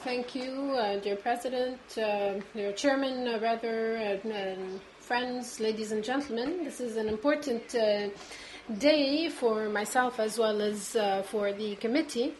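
A woman working as a conference interpreter, rendering a formal speech as it is delivered. Her voice sits somewhat high, and she halts often, dropping an "uh" between nearly every phrase. A faint hiss lies behind her. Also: darkish tone, rough recording. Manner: halting, constant "uh" fillers between words.

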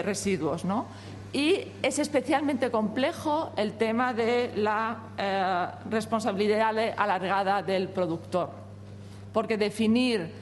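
A woman, a native Spanish speaker, reads out formal testimony at a hearing. She moves at a measured, hesitant pace and fills her pauses. The sound carries a long hall reverb with a faint hum behind it. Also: mid-range voice. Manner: measured, hesitant, with frequent fillers. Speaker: company representative at a parliamentary hearing.

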